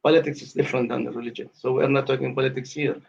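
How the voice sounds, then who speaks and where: Man in his fifties, from the West Bank, rapid, gravelly, insistent, formal phrasing, dull lo-fi call audio. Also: medium pitch, steady tone. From West Bank, Palestine. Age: 50s. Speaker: caller in a debate aftershow. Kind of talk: heated live discussion. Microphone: online call.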